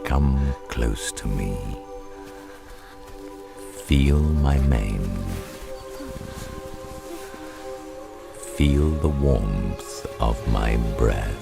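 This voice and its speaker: Great solemn lion, extremely deep and gravelly. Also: male voice, expressive, loud music behind the voice, heavily acted. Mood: gentle, solemn. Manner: slow, solemn, measured. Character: majestic, gentle great male lion, wise and solemn. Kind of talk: radio theatre acting.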